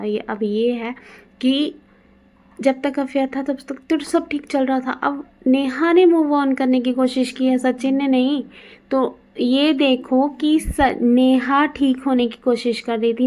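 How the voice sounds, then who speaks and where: A woman with a fairly high yet very mellow, soft-edged voice. She talks conversationally, as a video commentator sharing her own opinions. The delivery is casual and unscripted.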